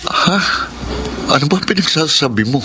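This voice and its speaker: An actor playing a reluctant, principled young son in a radio drama, speaking earnestly. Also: male voice, on the high side, expressive, moderate pace, very noisy, close to an everyday voice. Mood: indignant. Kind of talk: radio drama acting and letter narration.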